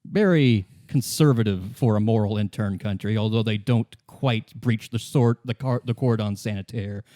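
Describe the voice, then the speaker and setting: A man's voice: lowish voice, moderate pace, dark tone, no echo, clean studio sound, lightly acted. A man playing a cynical, world-weary woman who smokes, in casual, evasive improvised dialogue for a tabletop roleplay. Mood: dry, sardonic.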